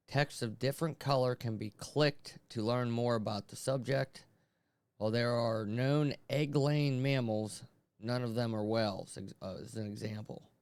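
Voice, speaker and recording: A man's voice: medium pitch, clear, resonant tone, dry recording. A man doing casual let's-play commentary, reading screen text aloud between informal, sweary asides. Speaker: host playing through a visual novel.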